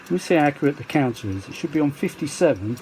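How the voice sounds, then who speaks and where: A man's voice: on the high side, animated, very fast, darkish tone, a little hiss or hum, low-quality recording. A man giving a casual, rambling hobbyist demonstration, chatty and self-deprecating, with muttered asides. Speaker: vintage audio hobbyist presenting a restoration.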